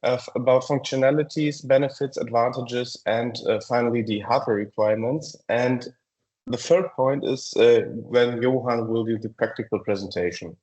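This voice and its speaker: A man from Germany with a German accent presents a business software webinar, with frequent ums and uhs in a halting delivery. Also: medium pitch, low-quality recording.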